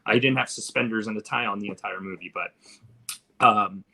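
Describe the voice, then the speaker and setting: A man's voice: lowish voice, brisk, vocal fry, bright, forceful tone, rough recording. A man in a casual film discussion, rambling and thinking aloud as a podcast panelist.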